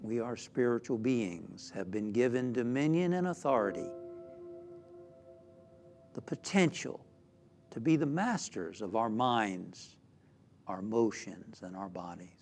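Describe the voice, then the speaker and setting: A man, a minister, leading a spiritual guided meditation in a soothing, deliberate way with frequent pauses. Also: fairly low pitch, gravelly. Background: background music.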